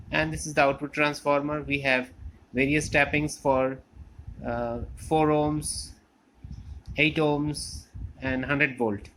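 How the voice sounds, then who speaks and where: Higher-pitched man explaining, noisy recording. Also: fairly bright tone. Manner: halting, explanatory, repeats phrases. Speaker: electronics hobbyist demonstrating a restored amplifier. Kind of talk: unscripted technical walkthrough. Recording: clear background noise with clicks.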